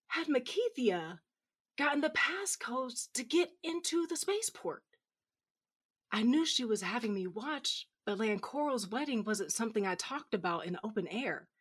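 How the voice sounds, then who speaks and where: An actress playing a hot-headed, drunken cyborg fighter, narrating in first person with slurred, sardonic defiance and foul-mouthed bite. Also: female voice, fairly high pitch, a bit bright, breathy edge, exaggerated voice.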